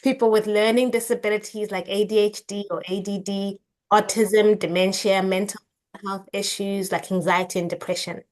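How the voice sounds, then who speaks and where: Woman from southern africa hosting, lively warm intro, mid pitch. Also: moderate pace. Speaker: host. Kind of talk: event introduction.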